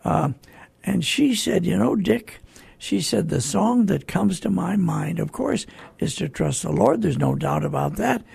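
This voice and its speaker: An older man hosting a radio program informally, with a gravelly, husky voice and an earnest, halting way of repeating himself. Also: high voice, expressive, a little mellow, no room sound. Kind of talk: informal hosting, introducing songs.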